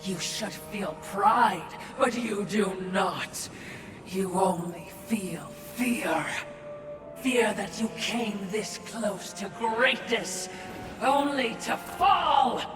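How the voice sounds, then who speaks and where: A woman's voice: medium pitch, drawn-out words. A woman voicing a scornful female spirit servant in a dramatic, accusing video game performance. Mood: bitter taunting. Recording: roomy echo, distant, music behind.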